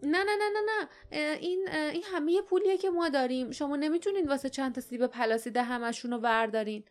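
A woman's voice: sits high, soft background music, pitched above the performer's own voice, lightly acted. A woman voicing an impulsive young adventurer in a storybook, blurting out colloquial protests in a hesitant way. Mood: flustered protest. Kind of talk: dramatized audiobook character voice.

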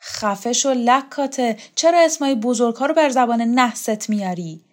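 A woman's voice: medium pitch, lively intonation, natural voice. A woman voicing a proud, hot-tempered tough female fighter in an audiobook, coarse and boastful. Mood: angry, scornful. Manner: swearing, growling, boastful.

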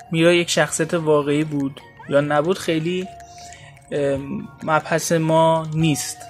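Higher-pitched man over background music. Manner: hesitant, pausing between phrases. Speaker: podcast host. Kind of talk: informal podcast introduction. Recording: music behind the voice.

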